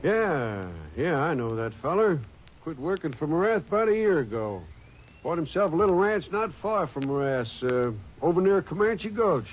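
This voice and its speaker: Actor as helpful country sheriff, higher and lively, hissy old radio recording. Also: male voice, moderate pace, lo-fi sound. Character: folksy small-town male sheriff, helpful. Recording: old radio broadcast with noise.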